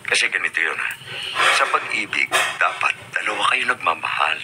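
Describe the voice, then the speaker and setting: A man's voice: deep voice, lively intonation, moderate pace. A man acting a possessive, jealous husband in a Filipino radio drama, intense and insistent. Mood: obsessive pleading. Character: possessive, obsessive, jealous husband, a brooding villain. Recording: noisy radio drama recording.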